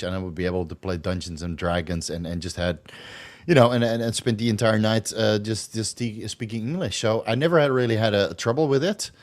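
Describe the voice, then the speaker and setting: Tipsy Dutch-accented man, lowish voice. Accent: slight Dutch. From Netherlands. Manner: loose, rambling, swears and laughs easily. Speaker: host. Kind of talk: casual livestream Q&A.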